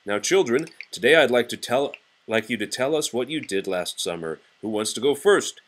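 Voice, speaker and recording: Man playing a gently firm lady schoolteacher. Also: mid-range voice, animated, normal speed, close to an everyday voice.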